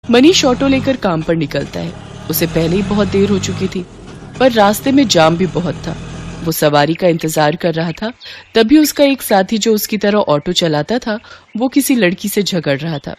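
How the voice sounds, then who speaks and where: A woman's voice: mid-range voice, lively intonation, moderate pace, darkish tone, a little hiss or hum. A woman narrating a scripted moral story as the storyteller.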